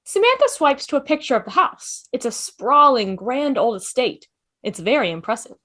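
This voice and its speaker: A woman's voice: sits high, sing-song, normal speed, fairly bright tone. A woman reading scripted stage directions for an amateur table read, announcing each scene.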